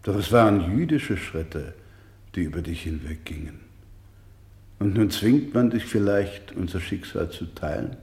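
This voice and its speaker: Weary, devout doctor for radio drama: slow, solemn, gentle, halting, roomy echoing sound. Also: male voice, sits low, animated. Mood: grave, sorrowful. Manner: solemn, halting, swelling from whisper to fervour. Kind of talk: dramatic radio play acting.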